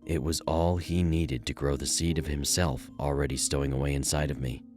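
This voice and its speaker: Loyal male detective, acted by a man, extremely low voice, earnest and confiding, audio drama over clear music bed. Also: flat delivery, normal speed. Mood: earnest explaining.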